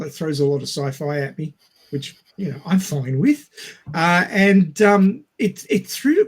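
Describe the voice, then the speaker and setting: A man co-hosting a casual discussion, telling anecdotes in a wry, sweary, animated way. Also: on the high side. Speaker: podcast co-host.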